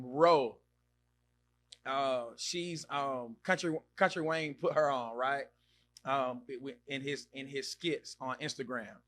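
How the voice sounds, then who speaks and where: A podcast host, a man in his mid-thirties, talks casually with a bright, forceful voice pitched a little high. He reacts with loud exclamations, repeats himself and thinks aloud while reading viewer comments. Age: 30s. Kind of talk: casual live-stream chat reading comments.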